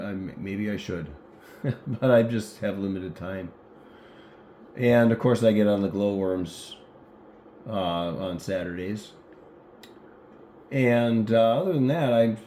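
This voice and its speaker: A man's voice: fairly low pitch, warm tone, slight background noise. A man talking as an amateur radio operator in a casual, informal and rambling chat.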